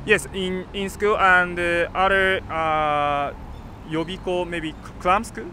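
A young man in his twenties with a Japanese accent, answering in a halting, brief way. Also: high voice, little pitch movement, bright, forceful tone. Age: 20s. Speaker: student interviewee. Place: outdoors by a campus gate. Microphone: handheld mic. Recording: outdoor street noise.

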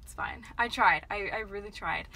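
A woman's voice: mid-range voice, normal speed, pushed and bright. A young American woman chatting to camera in a casual, upbeat, informal vlog style. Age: young adult. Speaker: vlogger. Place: parked car and bedrooms indoors. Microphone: phone camera at arm's length. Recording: noisy phone-camera vlog audio.

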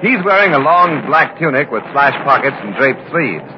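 A man's voice: mid-range voice, big pitch swings, moderate pace, close to an everyday voice. An American man as a radio news reporter, giving formal, polite, descriptive commentary in 1950s style. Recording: dull, noisy vintage radio recording.

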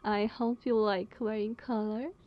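A woman playing a dominant vampire sister in an ASMR roleplay, speaking softly and breathily with sweet condescension. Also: mid-range voice, little pitch movement, a little slow, highs cut off, natural voice. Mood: sweetly doting. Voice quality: soft, breathy, often whispered.

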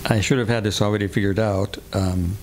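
An older man, a guest musician, telling relaxed stories with dry puns in a living room. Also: on the low side, brisk. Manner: relaxed, wry, punning. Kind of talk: conversational storytelling.

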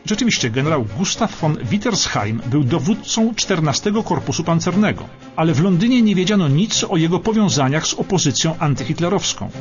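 Animated, higher-pitched male documentary narrator. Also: a little fast. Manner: emphatic, suspenseful storytelling. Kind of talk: dramatic scripted documentary narration. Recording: dull radio sound over music bed.

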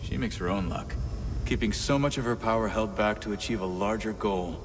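An easygoing mercenary from a sci-fi game, acted by a man, with a low voice. He talks casually, like a practical friend. The sound is reverberant, with clear ambient noise behind him. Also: a little fast, natural voice. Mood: casual, admiring. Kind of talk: cinematic video game acting.

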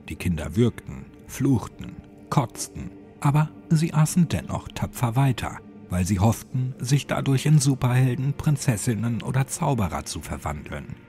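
A man's voice: mid-range voice, warm tone. A man reading a scripted horror story as an audiobook narrator. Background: music.

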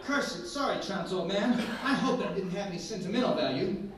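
A man voicing an excitable, fearless young male poet in a read-aloud adventure. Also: high-pitched, moderate pace, a crowd in the background, voice pushed up. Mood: gleeful. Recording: roomy echo, from far off.

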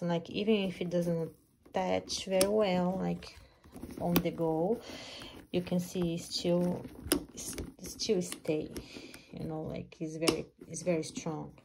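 A Brazilian woman in her thirties with a Portuguese accent, reviewing a handbag in halting English and laughing often, recorded on a phone indoors. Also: on the low side, background noise. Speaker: purse reviewer. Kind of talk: casual product review. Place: small craft room indoors. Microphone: phone camera.

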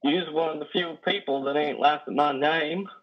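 A man in his thirties playing a simple, wounded, lonely trucker with halting, folksy, ungrammatical speech in a play reading. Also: on the high side, steady tone, close to an everyday voice. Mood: nervous, confiding. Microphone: headset on a video call. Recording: dull video call audio.

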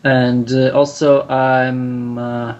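A man in his thirties from Russia with a Russian accent, answering interview questions in halting English full of "uh" fillers, over a webcam video call in a small room. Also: medium pitch, flat delivery, a little mellow, dull audio. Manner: halting, many "uh" fillers, searching for English words. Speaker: human rights activist, interviewee.

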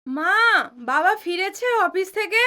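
Woman playing a bright-voiced, cheeky young daughter. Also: very high voice, a little slow, full character voice. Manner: teasing, mixes in English. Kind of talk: audio drama acting.

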